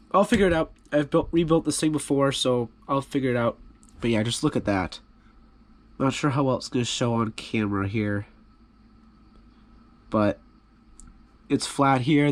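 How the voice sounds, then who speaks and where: Stuffy-voiced man, casual repair talk. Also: mid-range voice. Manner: relaxed, rambling, self-deprecating. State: stuffy from a cold. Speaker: hobby channel host.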